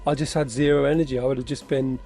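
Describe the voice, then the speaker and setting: A man's voice: medium pitch, little pitch movement, fast talker, dark tone, soft background music. A man co-hosting a casual podcast chat over a video call, wry and rambling. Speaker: podcast co-host.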